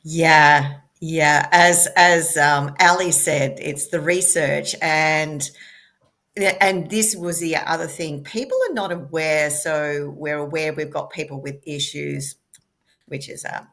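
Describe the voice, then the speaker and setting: A woman guest on a talk show, speaking in a measured way with frequent ums. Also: deep voice, steady tone, fairly bright tone.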